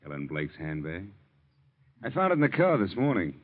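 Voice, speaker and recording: An actor playing a sly, menacing chauffeur turned blackmailer in an old-time radio drama, insinuating and coolly threatening in a slangy way. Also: male voice, low-pitched, wildly swooping pitch, moderate pace, heavily acted. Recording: muffled vintage radio recording.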